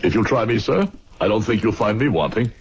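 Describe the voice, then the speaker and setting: A man's voice: on the low side, expressive, fairly quick, lo-fi sound, a little hiss or hum. An actor playing an earnest medical man in an old-time radio drama, formal and theatrical in his speech. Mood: eager. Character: earnest young medical student, later a rueful narrator. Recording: old radio recording with music bed.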